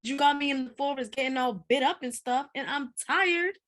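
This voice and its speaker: A woman's voice: high voice, normal speed, fairly bright tone. A woman acting a bold, precocious fifteen-year-old girl with thuggish charm in a script read, complaining and slangy. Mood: cocky complaint.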